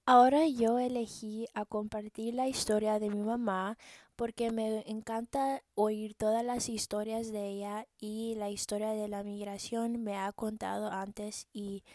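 A woman's voice: medium pitch, warm tone, no echo, studio quality. A young adult woman, a student, interviewing her mother by reading out prepared questions in an earnest way.

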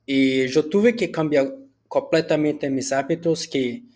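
Slow, halting man with a Brazilian Portuguese accent. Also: a little high, darkish tone. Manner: halting, with frequent restarts and filler "ah". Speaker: student. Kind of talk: answering set questions in a spoken school assignment.

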